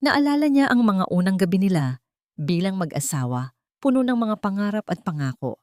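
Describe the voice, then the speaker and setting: A woman reads a scripted dramatic story in a measured, solemn way, phrase by short phrase. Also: medium pitch, sing-song, darkish tone, dry recording, studio quality. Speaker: story narrator.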